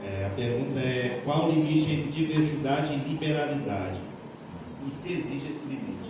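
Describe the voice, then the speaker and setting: A man's voice: mid-range voice, lively intonation, moderate pace. A Brazilian man informally moderating a forum, putting questions to the panel. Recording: echoey room, distant mic, clear background noise.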